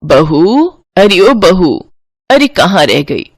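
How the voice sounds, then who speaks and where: A woman voicing a demanding middle-aged mother-in-law, impatient and sarcastic, calling out loudly. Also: fairly low pitch, sing-song, slow pace, dry recording, studio quality, heavily acted.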